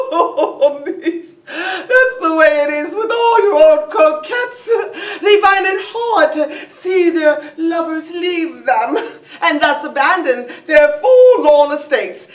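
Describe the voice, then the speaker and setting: Shrill, mocking stage gossip in an echoey room. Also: female voice, expressive, slow, full character voice. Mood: gleeful mockery. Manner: arch, exaggerated, laughing through the words. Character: gossiping, mocking woman sneering at a prudish aging coquette. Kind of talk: theatrical classical comedy stage monologue. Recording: echoey room, distant mic.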